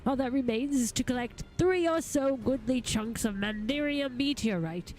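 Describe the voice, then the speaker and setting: Woman voicing a formal, higher-pitched game craftsperson. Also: normal speed, a little mellow, lightly acted. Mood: businesslike. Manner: formal, orderly. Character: formal, purposeful craftsperson handing out game quest tasks. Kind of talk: voiced video game dialogue.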